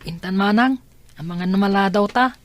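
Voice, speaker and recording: A woman's voice: medium pitch, moderate pace, natural voice. A woman playing a glum, honest schoolchild in a radio drama, subdued and complaining. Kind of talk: Ilocano radio drama acting.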